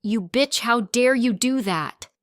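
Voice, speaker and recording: A woman's voice: a little high, takes it slowly, no echo, studio quality, pitched above the performer's own voice. A woman voicing a hostile young woman who screams and shrieks at everyone. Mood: shrieking. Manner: shrieking, arrogant.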